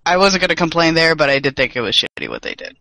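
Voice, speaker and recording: A man's voice: on the high side, expressive, quick pace, pushed and bright, no echo. A young man co-hosting a casual podcast chat, joking and swearing freely, with a dry voice today. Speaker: podcast co-host.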